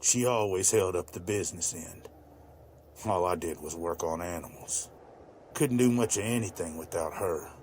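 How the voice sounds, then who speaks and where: Actor as a deep-voiced older farmer, plainspoken. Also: male voice, normal speed, darkish tone, slight background noise. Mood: wistful. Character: weary older widowed male farmer, former vet, plainspoken. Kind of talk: voice acting for a motion comic.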